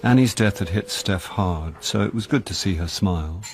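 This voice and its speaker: British man, middle-aged, lowish voice, wry, theatrical, self-deprecating, rueful radio detective, music behind. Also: moderate pace. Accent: British English. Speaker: actor and amateur detective in a radio mystery. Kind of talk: radio comedy-drama acting and first-person narration.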